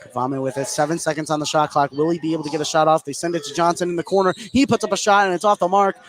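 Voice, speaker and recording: Higher-voiced man calling live basketball, noisy gym. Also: rapid-fire. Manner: energetic, follows each pass in clipped phrases. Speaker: play-by-play sports announcer. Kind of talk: live basketball radio commentary. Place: indoor gymnasium at a live game. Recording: clear gym noise behind the voice.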